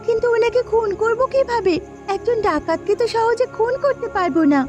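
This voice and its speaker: A woman's voice: extremely high pitch, fairly quick, darkish tone. A woman playing a bandit's questioning, complicit wife in a Bengali cartoon. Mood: worried. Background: background music.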